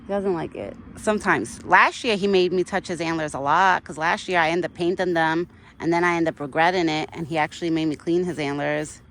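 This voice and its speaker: A middle-aged woman chatting casually outdoors to her viewers. She keeps breaking into high sing-song baby talk for an animal. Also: steady tone, brisk, fairly bright tone, slight background noise. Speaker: vlogger talking to a wild deer. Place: outdoors in a yard.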